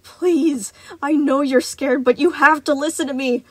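A woman's voice: high voice, fairly even intonation, unhurried, no echo, pitched above the performer's own voice. A woman voicing a desperate, pleading robot bear. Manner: pleading, yelling. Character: big male animatronic bear, protective and desperate.

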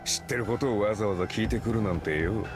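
A man playing an arrogant, menacing elite hunter in an anime game, haughty, mocking and rough-spoken. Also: fairly low pitch, fast, natural voice. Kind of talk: anime game cutscene voice acting. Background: music.

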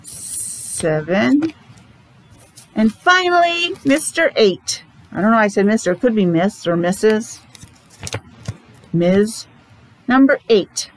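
A middle-aged woman teaching young children in simple, deliberate phrases with chatty asides, speaking through a face mask. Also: fairly high pitch, lively intonation, slight background noise, low-quality recording. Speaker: preschool teacher. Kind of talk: children's classroom lesson. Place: small indoor classroom.

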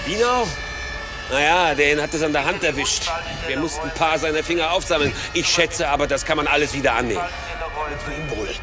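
A man's voice: fairly high pitch, fairly quick, over music, close to an everyday voice. An actor playing a harried male police investigator in a radio crime drama, with a wry, colloquial manner. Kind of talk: naturalistic German radio crime drama acting.

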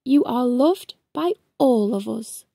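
A woman's voice: on the high side, animated, slow pace, creaky, dark tone, husky, no room sound, clean studio sound, heavily acted. A woman voicing a kind, encouraging little girl in a storybook, sweet and coaxing. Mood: affectionate.

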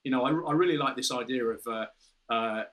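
A man's voice: medium pitch, brisk, a bit bright. A British man in his fifties on a video call, explaining at length as an interview guest, with frequent restarts and "you know". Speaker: guest, former fighter pilot. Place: room with a window and red curtains, indoors.

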